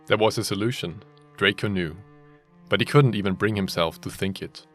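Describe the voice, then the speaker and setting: A man's voice: fairly low pitch, moderate pace, faint music behind. A man narrating a written story in a group reading, breaking off into casual, sweary banter. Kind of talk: scripted story reading mixed with joking chat.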